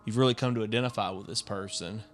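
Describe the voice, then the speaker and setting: A man's voice: fairly low pitch, quick pace. A man co-hosting a podcast, talking a story through in a conversational, explanatory way. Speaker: podcast co-host. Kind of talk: casual discussion of a story. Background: faint music.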